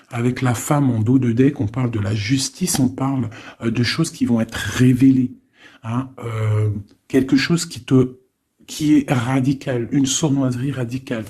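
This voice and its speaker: A man giving a casual, improvised tarot reading, thinking aloud in an informal, halting way with frequent little tag questions. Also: mid-range voice, a little mellow, slightly rough. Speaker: tarot card reader.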